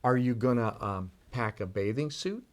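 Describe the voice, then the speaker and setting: A man voicing a gentle father who talks to his son in a soft, patient way. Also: mid-range voice, animated, moderate pace, close to an everyday voice.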